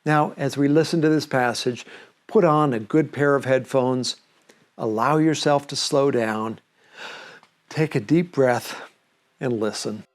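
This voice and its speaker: A man hosting a reflective program, speaking to listeners in an earnest, gently instructive way. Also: mid-range voice, unhurried. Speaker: host of a reflective series.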